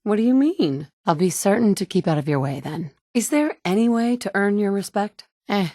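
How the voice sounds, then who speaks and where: A woman's voice: medium pitch, expressive, moderate pace, mellow, dry recording, lightly acted. An actress in her thirties voicing a game's player heroine, a determined mother searching for her son, with dry wit and a blunt, curt edge. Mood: determined, wry. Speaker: player character. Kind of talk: game dialogue lines. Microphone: close studio mic.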